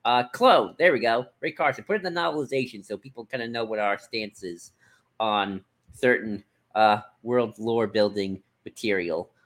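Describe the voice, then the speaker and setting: A man's voice: fairly low pitch, normal speed, fairly bright tone. A man co-hosting a podcast, chatting casually in a loose, rambling way as he thinks aloud. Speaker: podcast co-host. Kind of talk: casual chat about books.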